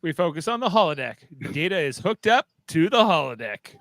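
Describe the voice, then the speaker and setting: Higher-voiced man narrating, dry sound. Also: very animated, fairly quick, fairly bright tone, dry recording. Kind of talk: reading stage directions.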